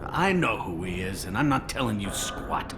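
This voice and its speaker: An actor playing a sleazy, smug criminal fixer in a video game, gravelly and sneering. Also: male voice, on the low side, very animated, normal speed, music in the background. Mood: smug defiance. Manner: sneering, sarcastic. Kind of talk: video game dramatic dialogue.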